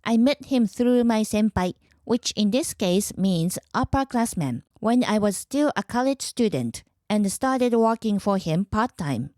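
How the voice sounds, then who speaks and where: A woman from Japan hosting a podcast, calmly explaining in a measured, clearly enunciated way. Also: mid-range voice, no room sound, studio quality. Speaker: podcast host. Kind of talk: scripted explanatory podcast monologue.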